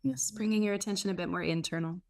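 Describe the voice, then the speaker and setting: A woman leading a guided meditation as a teacher, speaking in an unhurried way with gentle pauses between phrases. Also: medium pitch, steady tone. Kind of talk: guided meditation, softly instructive.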